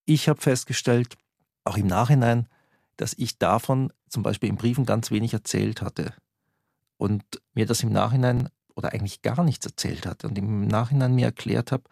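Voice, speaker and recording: Husky, reflective man. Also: mid-range voice, normal speed, a little mellow, dry recording, clean studio sound. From Austria. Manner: reflective, hesitant, with chuckles. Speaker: interviewee. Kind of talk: reflective interview.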